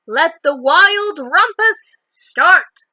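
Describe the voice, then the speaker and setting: A woman acts out a defiant little storybook boy with a high, bright voice. He is bossy and bold, giving loud, emphatic orders as if he rules everyone. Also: animated, drawn-out words, no echo, heavily acted. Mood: excited, commanding.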